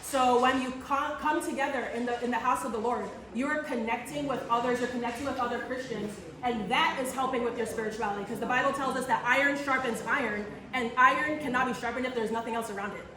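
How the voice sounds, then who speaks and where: A woman's voice: a little high, quick pace, hardly any pauses, a bit bright. Earnest woman speaking in an echoey church. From Barbados. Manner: earnest, restarts phrases, asks the audience questions. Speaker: psychiatry resident giving a church talk. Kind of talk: talk to a church audience. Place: church in the Caribbean. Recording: echoey church room from far off, faint noise.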